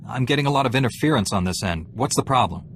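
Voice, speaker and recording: A man's voice: medium pitch, animated, fast talker, a bit bright, slight background noise. A man playing a serious, blunt warrior knight in a space-saga video game, curt and dry. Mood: stern, dismissive. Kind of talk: video game dialogue acting.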